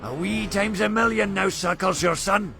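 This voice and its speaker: A man's voice: high voice, normal speed, gravelly, sharp tone, full character voice. A man voicing a crazy, scheming old cartoon wizard who speaks theatrically in gloating rhyme. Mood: gloating, ominous. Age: older. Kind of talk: animated cartoon voice acting. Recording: music behind the voice.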